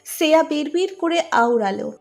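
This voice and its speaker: Female narrator for scripted romance audiobook; high-pitched voice, storytelling delivery. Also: lively intonation, normal speed, vocal fry, soft background music.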